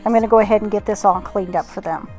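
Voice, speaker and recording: A woman's voice: medium pitch, quick pace, a little mellow, soft background music. A middle-aged woman narrating household tasks in a casual vlog voice-over. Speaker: home organizing vlogger.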